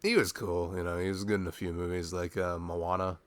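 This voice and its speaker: A man's voice: low voice, little pitch movement, a little fast. A man in an intimate ASMR boyfriend chat, rambling in a slangy, jokey way. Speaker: ASMR boyfriend roleplay performer.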